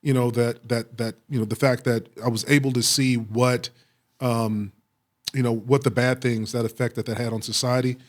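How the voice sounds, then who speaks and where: A middle-aged American man from Texas answering interview questions with personal stories, speaking reflectively with frequent pauses and repeated phrases. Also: medium pitch, flat delivery. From Waco, Texas, United States. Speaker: police chief, interview guest.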